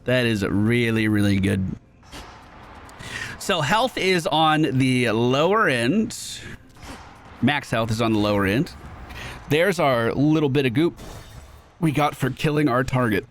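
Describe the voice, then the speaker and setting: A man's voice: medium pitch, lively intonation, measured pace, long pauses, a little hiss or hum. A man hosting a gaming video, giving upbeat running commentary on his own play. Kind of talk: gameplay commentary. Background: video game music.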